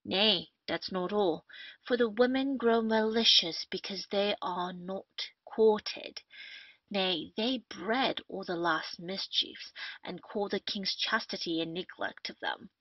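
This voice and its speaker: A woman's voice: medium pitch, slow, no echo. Woman as worldly male captain, bawdy wit, mocking declamation, period stage comedy, dry dull audio. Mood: cynical, scornful. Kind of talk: Restoration comedy audio play acting.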